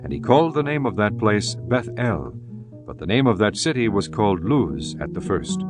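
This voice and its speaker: A man narrating scripture in a measured, reverent manner. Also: fairly low pitch, very animated. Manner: measured, reverent, archaic diction. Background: guitar music.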